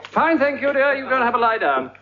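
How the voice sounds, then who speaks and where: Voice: sits high, very animated, fast, very noisy. A stage actor playing a frantic, rude, blustering hotel owner who stammers and blurts out his words. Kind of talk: live stage farce. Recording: muffled live recording with loud audience laughter. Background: loud audience laughter.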